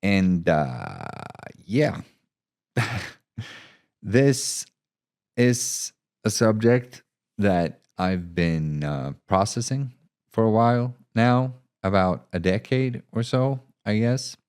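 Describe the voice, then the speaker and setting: A man narrating and reflecting on his own writing in an unhurried, thoughtful way. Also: lowish voice, sing-song, darkish tone, smooth. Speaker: author reading own short story. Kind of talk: scripted narration and casual reflective talk.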